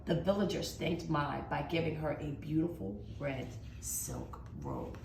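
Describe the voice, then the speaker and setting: A woman's voice: low-pitched, wildly swooping pitch, creaky. A woman reading a children's storybook aloud as a teacher, with dramatic, emphatic expression. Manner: dramatic, with emphatic drawn-out words. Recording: roomy echo, faint background noise.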